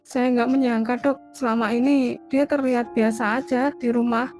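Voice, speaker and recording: A woman acts out an anxious middle-aged mother in a horror cartoon. Her voice sits fairly high, full of care for her grown son, with soft music underneath. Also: fairly even intonation, normal speed, close to an everyday voice. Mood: sorrowful. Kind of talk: animated cartoon drama dialogue.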